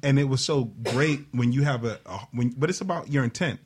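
A man's voice: mid-range voice, brisk, darkish tone, breathy edge. A man talking casually as a panelist in a loose, streetwise, informal way, often checking in with listeners. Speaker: talk show panelist. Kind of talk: casual chat.

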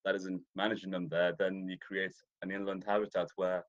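Deep-voiced man, dull lo-fi sound. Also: little pitch movement, brisk. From Ethiopia. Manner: rambling, many restarts and fillers. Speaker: interview guest, deer stalker. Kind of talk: casual interview chat. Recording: dull lo-fi audio.

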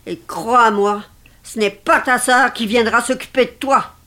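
A woman's voice: a little high, steady tone, a little slow, fairly bright tone. An actress playing an elderly, possessive mother, theatrical and self-pitying, in a dramatic script reading. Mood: scolding. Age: older. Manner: theatrical, reproachful, martyr-like.

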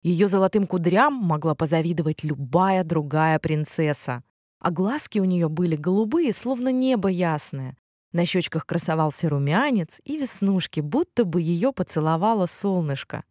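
Animated woman reading a fairy tale. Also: on the low side, moderate pace, no room sound, studio quality. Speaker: storyteller narrating a children's fairy tale. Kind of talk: expressive scripted fairy-tale narration.

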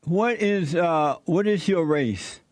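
A man's voice: on the high side, a little mellow, no echo. Man interviewing in a dry close sound. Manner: brief affirmations, measured questions. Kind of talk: interview questions.

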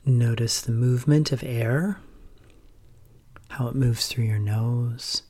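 A man speaks as a meditation teacher in a calm, gentle voice of average pitch. His delivery is slow and measured, with frequent pauses between short instructions. Phrases often trail off softly and breathily. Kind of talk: guided meditation narration.

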